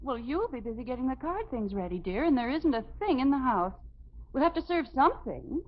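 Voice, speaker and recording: A woman's voice: high-pitched, sing-song, brisk. A woman playing a patient, soothing wife and practical mother in a 1950s radio sitcom. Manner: placating, practical. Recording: muffled, hissy old radio broadcast.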